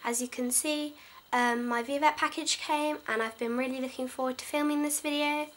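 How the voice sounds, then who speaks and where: A woman with a fairly high voice talks through a pet haul in a relaxed, eager ramble. Her voice still carries the rough edge of a cold, and she fills her pauses with "um" and "like". She sits at home, recorded on the camera's own mic. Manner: chatty, enthusiastic, frequent "um" and "like". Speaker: pet vlogger. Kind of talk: casual haul video.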